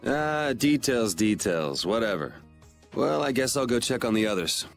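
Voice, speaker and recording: A man's voice: mid-range voice, sing-song, moderate pace, creaky, soft background music. An actor playing a cool, irreverent young swordsman with a casual, sardonic manner in a video game. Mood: wry.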